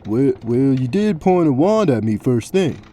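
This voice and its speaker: A man's voice: mid-range voice, sing-song, unhurried, mellow. A man playing a nervous, polite, scaly reptilian priest who stammers and talks in a folksy way in a fantasy audio drama. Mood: nervous, defensive. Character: nervous, evasive, polite male reptilian priest with scales and tail.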